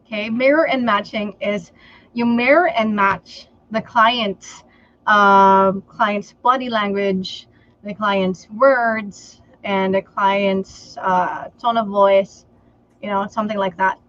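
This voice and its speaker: A woman from the Philippines whose first language is Tagalog, giving sales advice in a livestream interview and often pausing on "okay" and "um". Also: mid-range voice, fairly even intonation, a bit bright, no room sound, highs cut off. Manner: slow and deliberate, many fillers like okay and um. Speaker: sales trainer guest.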